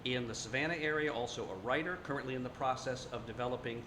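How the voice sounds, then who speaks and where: An older man co-hosting an interview, introducing the guest in a measured way with joking asides. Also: medium pitch, background noise. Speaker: co-host. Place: bright living room set. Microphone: stand mic in front.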